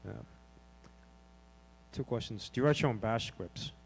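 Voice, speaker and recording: A deep-voiced man with a gravelly edge asks his question from the audience, halting and filling gaps with ums. He sounds distant from the mic, over a faint hum. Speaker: audience member asking a question.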